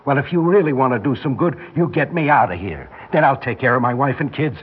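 An actor playing a hostile, slangy condemned prisoner with a gruff American way of talking in a 1960s radio drama. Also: male voice, mid-range voice, lively intonation, brisk, phone-quality sound. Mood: bitter, angry.

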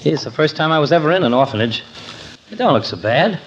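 In a hissy, muffled 1940s radio comedy, a brash, grumbling regular guy full of slang. His voice is fairly high and has a skeptical, wisecracking swagger. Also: lively intonation, quick pace.

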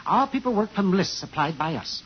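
An actor playing a fussy, evasive sales manager in a 1950s radio drama, officious and easily flustered. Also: male voice, high voice, sing-song, brisk. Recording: dull, noisy 1950s radio recording.

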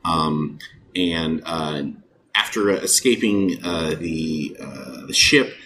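A man hosting and running a streamed tabletop role-playing game, pausing often with filler sounds between phrases. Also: very deep voice, background noise. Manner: halting, many filler sounds. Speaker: game master.

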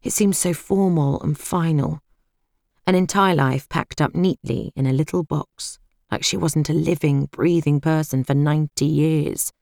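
A woman's voice: sits low, fairly even intonation, measured pace, gravelly, a little mellow, dry recording, clean studio sound. A British woman reading first-person fiction as an audiobook narrator.

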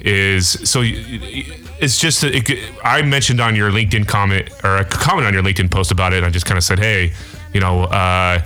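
A man with a fairly low voice who talks in an easy, laid-back way, loose and unhurried, with faint music in the background. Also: steady tone, sharp tone. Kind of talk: casual chat.

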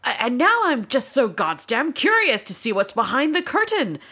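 A woman's voice: high voice, sing-song, normal speed, pushed and bright, full character voice. A woman voicing a theatrical, melodramatic queen of the underworld in a comedic audio story. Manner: melodramatic, sighing. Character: dramatic gorgon queen, theatrical and moody.

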